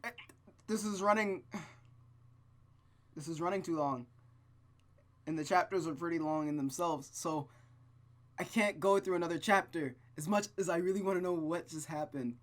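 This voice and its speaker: An informal, excitable host reading a visual novel aloud and chatting casually to viewers, at a medium pitch. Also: moderate pace, long pauses. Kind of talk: casual let's-play narration and chat. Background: music with plucked guitar.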